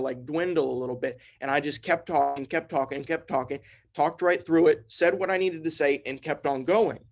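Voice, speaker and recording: A young man giving an informal, earnest spiritual talk, repeating phrases and restarting often. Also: on the high side. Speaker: trance channel and speaker. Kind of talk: informal sermon.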